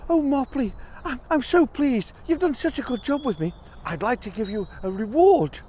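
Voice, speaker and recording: A man voicing a kind, eager female bird who gushes and pleads excitedly, in a storybook telling. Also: extremely high pitch, moderate pace, slight background noise, voice pushed up. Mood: grateful.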